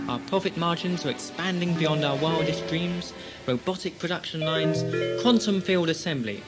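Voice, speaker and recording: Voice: medium pitch, close to an everyday voice. A performer playing a smug, domineering company boss in an audio drama, pompous and self-satisfied. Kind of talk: radio drama acting. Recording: music behind the voice.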